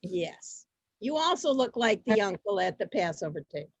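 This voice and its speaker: A woman hosting an amateur theater event informally, halting and often repeating her words as she goes. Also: mid-range voice, very animated, dry recording. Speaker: host introducing performers.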